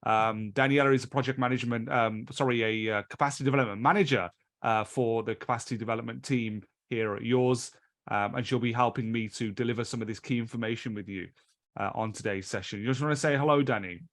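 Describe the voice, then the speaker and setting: A man's voice: mid-range voice, fairly even intonation, fast, fairly bright tone. A British man hosting and teaching a live online training session over a video call, speaking in a chatty, loose way that often restarts its phrases.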